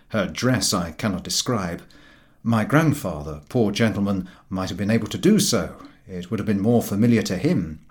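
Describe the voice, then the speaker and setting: A man reading a humorous literary story aloud in an expressive, dryly droll way. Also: lowish voice. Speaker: audiobook reader.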